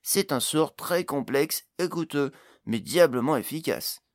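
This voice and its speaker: A man's voice: a little high, expressive, a little slow, no room sound, clean studio sound, voice pushed up. A man voicing a young male gnome intern in an audiobook fantasy tale, hesitant at first, then emphatic and exclamatory. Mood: explanatory, emphatic. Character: young male gnome, precarious intern, eager and indignant magic-device expert.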